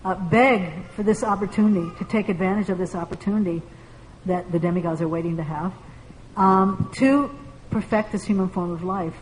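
Woman discussion leader, low-ish voice, halting earnest delivery, roomy hall sound with faint hiss. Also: steady tone. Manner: earnest, halting, many fillers. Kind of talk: devotional group discussion. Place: large room with a group.

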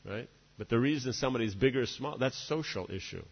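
A man's voice: medium pitch, a little fast, gravelly, breathy edge. A man giving a devotional lecture, rambling along and checking in with "right?" and "you know" between his points. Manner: discursive, many "you know" and "right?" asides. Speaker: spiritual teacher. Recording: dull reverberant hall recording.

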